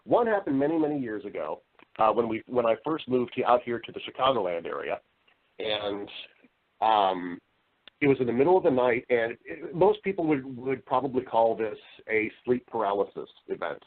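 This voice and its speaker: A man's voice: medium pitch, animated, normal speed. A middle-aged American man telling personal stories as an interview guest, speaking earnestly and emphatically, often repeating phrases as he goes. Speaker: podcast guest, voice-over artist and storyteller. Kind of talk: conversational interview storytelling. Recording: narrow-band, radio-like call audio.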